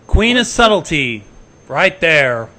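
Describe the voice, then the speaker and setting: Bright, high-voiced man chatting. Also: sing-song, takes it slowly. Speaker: guest on a livestream reading. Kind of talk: casual banter.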